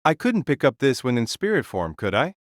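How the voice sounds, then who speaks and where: A man's voice: very animated, fairly quick, no room sound, clean studio sound, lightly acted. An actor playing a huge warrior king spirit in an audio drama, bold, imperious and unflustered. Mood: unbothered, proud. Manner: imperious, booming, declarative.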